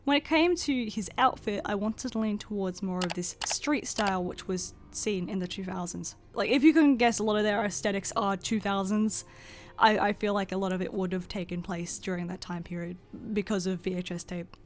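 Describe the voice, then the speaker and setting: A woman's voice: medium pitch, normal speed, a little hiss or hum. A young adult woman giving casual, scripted voice-over commentary as an artist, chatty and informal with a self-deprecating manner. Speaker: artist and video creator.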